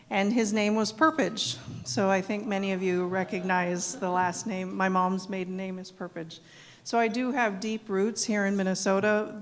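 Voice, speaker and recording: Middle-aged woman from south dakota, earnest answers at an evening q&a, lots of ums, echoey hall. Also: medium pitch. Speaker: executive director being interviewed. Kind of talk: live Q&A at an evening event. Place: event hall. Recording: long hall reverb.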